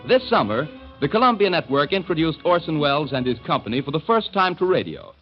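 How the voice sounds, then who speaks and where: A man makes a formal network announcement in the measured style of 1930s radio. His voice sits fairly high. The broadcast sounds muffled, and faint music plays underneath. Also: very animated.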